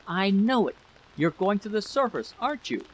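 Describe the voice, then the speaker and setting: A man's voice: high voice, sing-song, normal speed, pitched above the performer's own voice. A man voicing an anxious, bitter wife in a story reading, pleading emphatically over a noisy recording. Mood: sad, accusing. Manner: pleading, emphatic, repeating questions.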